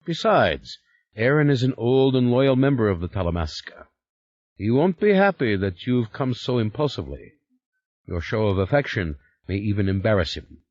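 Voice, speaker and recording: A man's voice: medium pitch, animated, normal speed, darkish tone, no room sound, dull audio, pitched above the performer's own voice, close to an everyday voice. A man voicing a patient, conciliatory male envoy of a secret order with a Scandinavian accent touched by Eastern Europe.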